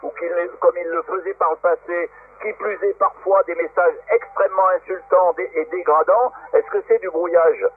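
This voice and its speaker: A man's voice: high voice, steady tone, moderate pace, breathy edge. A man talking informally as an amateur radio operator over a shortwave radio link. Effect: shortwave radio transmission. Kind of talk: informal argumentative radio exchange. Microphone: radio transceiver microphone. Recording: narrow, tinny, noisy shortwave radio link.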